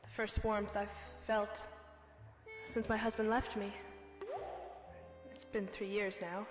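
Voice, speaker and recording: A woman's voice: mid-range voice, flat delivery, slow pace, music in the background, close to an everyday voice. A woman playing a plucky, wry damsel in distress, theatrical and earnest, in an amateur radio drama. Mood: sweetly earnest. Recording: echoey hall, dull muffled sound.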